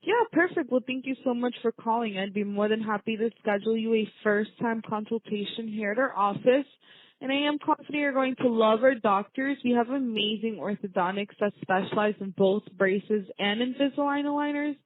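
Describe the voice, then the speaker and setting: A woman's voice: fairly high pitch, a little fast, no room sound. A woman working as a receptionist, speaking in a welcoming, positive customer service manner over the phone. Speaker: orthodontic office receptionist. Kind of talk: customer service phone call, semi-scripted. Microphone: telephone. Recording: narrow, tinny phone line, dry.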